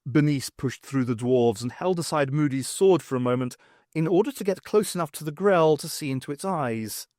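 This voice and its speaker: A man reading fiction as an audiobook narrator, in an expressive scripted storytelling style. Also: fairly high pitch, normal speed, no room sound, studio quality.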